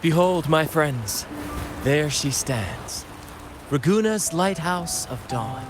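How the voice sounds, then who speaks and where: A flamboyant, cheerful showman from a video game. The voice is a little high and swings expressively, with grand theatrical flourishes. Music runs behind the words. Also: lively intonation, slow. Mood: grand, showy. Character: flamboyant, cheerful showman leading a sailing troupe. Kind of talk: video game character acting.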